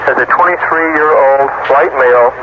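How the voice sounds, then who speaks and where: A man's voice: medium pitch, moderate pace. An American man, a law enforcement spokesman, methodically reading out a formal description. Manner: methodical, spelling things out. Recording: very noisy, dull radio news clip.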